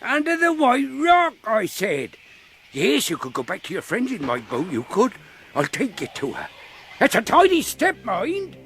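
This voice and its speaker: An actor playing a half-crazed marooned old sailor in a radio drama, rambling and repeating himself. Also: male voice, extremely high pitch, huge pitch swings, unhurried, fairly bright tone, slight background noise, exaggerated voice. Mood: excited, giggly.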